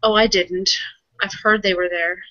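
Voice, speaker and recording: A woman's voice: medium pitch, fairly even intonation, normal speed, bright, forceful tone, dry recording, dull audio. A woman playing in a tabletop roleplaying session, speaking casually in and out of character. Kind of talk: casual in-character game dialogue.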